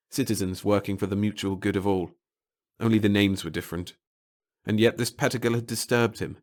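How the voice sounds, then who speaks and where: A man reading a short story aloud as scripted audiobook narration. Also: low-pitched.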